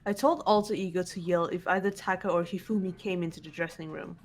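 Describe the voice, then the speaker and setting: A woman's voice: fairly low pitch, fairly even intonation, fast talker, lightly acted. A woman playing a composed, serious young female student detective, matter-of-fact, in an amateur game dub. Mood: serious, calm. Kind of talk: amateur fully voiced game playthrough.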